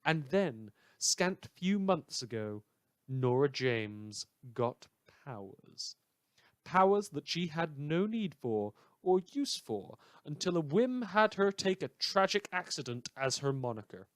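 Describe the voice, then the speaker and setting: A man playing a gleeful, sardonic villain who hosts a radio news show, delivering a scripted monologue with dramatic pauses and sarcasm. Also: on the high side, very animated, no room sound. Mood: wry storytelling.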